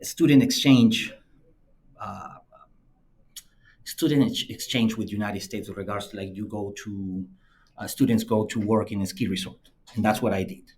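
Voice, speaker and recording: A man's voice: normal speed, pauses often. A middle-aged man from Peru with a Peruvian Spanish accent, a native Spanish speaker, telling personal stories as a podcast guest. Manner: lively anecdotal telling, acts out quoted friends in a higher voice. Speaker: podcast guest, company CEO. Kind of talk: conversational storytelling interview.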